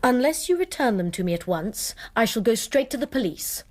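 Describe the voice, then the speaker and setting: A woman's voice: fairly high pitch, sing-song, fairly quick, darkish tone. An actress with an upper-class English accent playing a proud young Victorian noblewoman in a radio drama, formal, haughty and defiant. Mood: indignant, defiant. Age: young adult. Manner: formal, haughty, clipped indignation.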